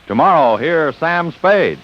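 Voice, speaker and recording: A man's voice: on the high side, sing-song, slow pace. A man announcing on 1950s radio in a formal, emphatic, scripted style. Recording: dull old radio recording with music. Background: music.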